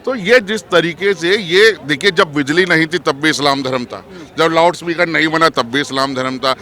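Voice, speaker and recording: A man's voice: fairly high pitch, bright, forceful tone. A man giving argumentative political opinion in an interview, emphatic and rhetorical, mixing in English terms.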